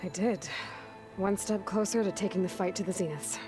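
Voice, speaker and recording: An actress playing a determined, level-headed young warrior heroine in a video game, speaking earnestly and directly. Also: female voice, medium pitch, moderate pace, natural voice. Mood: resolute. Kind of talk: video game dialogue acting. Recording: music behind the voice.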